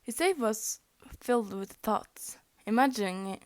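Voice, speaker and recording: A woman's voice: a little high, expressive, measured pace, darkish tone, no room sound. A young woman whose first language is Norwegian, reading fan fiction aloud, often tripping over words and laughing at her slips. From Norway. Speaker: fanfic reader.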